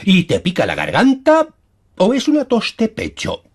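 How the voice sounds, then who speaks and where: Kindly cartoon bear doctor, very animated pitch, slightly husky, Castilian accent, reassuring. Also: male voice, moderate pace, full character voice. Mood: calm, professional. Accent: Castilian Spanish. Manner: reassuring, expressive doctorly delivery. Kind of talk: dubbed preschool cartoon acting.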